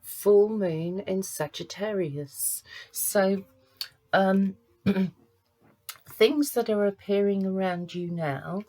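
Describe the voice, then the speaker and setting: A woman working as a psychic medium, giving a card reading to camera in a halting, searching way. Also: medium pitch, a little mellow, low-quality recording. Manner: halting, searching, pauses to sense impressions.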